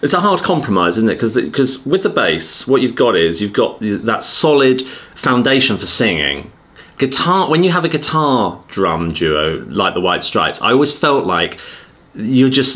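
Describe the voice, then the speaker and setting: A man's voice: fairly low pitch, expressive, moderate pace, fairly bright tone. Chatty male podcast host. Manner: chatty, frequent agreeing interjections. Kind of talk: casual interview chat.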